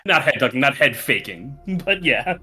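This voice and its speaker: A man's voice: mid-range voice, big pitch swings, normal speed, pushed and bright, slightly rough. A man playing a tabletop game, chatting casually and swearing freely as he talks. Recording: music playing behind the voice. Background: background music.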